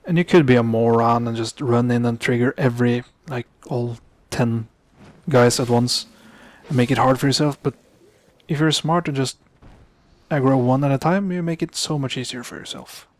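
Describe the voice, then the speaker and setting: A young man from Norway, speaking English as a second language, giving casual gameplay commentary and often stopping to correct himself. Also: mid-range voice. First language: Norwegian. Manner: halting, self-correcting, mutters asides under his breath. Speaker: gaming commentator.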